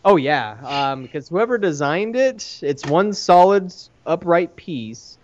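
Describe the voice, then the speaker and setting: A man hosting a podcast, chatting casually in a rambling, halting way about his own business. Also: on the high side, very animated, dull audio, a little hiss or hum. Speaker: podcast host and arcade owner.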